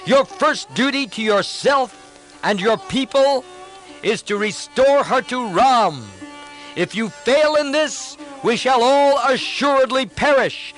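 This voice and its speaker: A man's voice: extremely high pitch, lively intonation, a bit bright, faint music behind, pitched above the performer's own voice, heavily acted, low-quality recording. A man voicing an earnest, righteous demon counselor. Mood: pleading. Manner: earnest, pleading, measured.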